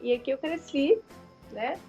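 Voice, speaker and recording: A woman's voice: high-pitched, animated, unhurried, mellow, faint music behind. Chatty Carioca woman, dull lo-fi sound. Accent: Carioca Brazilian Portuguese. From Rio de Janeiro, Brazil. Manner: rambling, many fillers and self-corrections. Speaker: guest writer. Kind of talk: casual interview chat.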